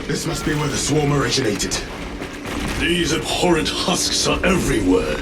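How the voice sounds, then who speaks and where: An actor playing a zealous, solemn space marine battle-brother in a video game, with formal, grave, righteous delivery. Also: male voice, medium pitch, big pitch swings, moderate pace, close to an everyday voice. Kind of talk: dramatic video game acting. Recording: echoey, distant, under loud vehicle and battle noise.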